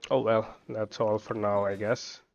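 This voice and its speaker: Low, creaky-voiced man musing over gameplay. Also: little pitch movement, unhurried. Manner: musing, thinking aloud while playing. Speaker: game streamer. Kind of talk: casual gameplay commentary. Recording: dull lo-fi microphone sound. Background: game music.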